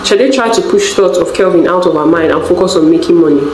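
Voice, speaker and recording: Woman narrating a story, roomy, low-pitched. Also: fast talker, a little mellow, a little hiss or hum. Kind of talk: scripted folktale narration. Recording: roomy echo, music bed. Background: background music.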